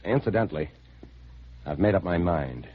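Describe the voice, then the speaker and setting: A man's voice: low voice, animated, moderate pace. An actor playing a troubled man investigating and narrating his own story in a 1950s radio drama. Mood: resolute. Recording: dull lo-fi vintage 1950s radio recording.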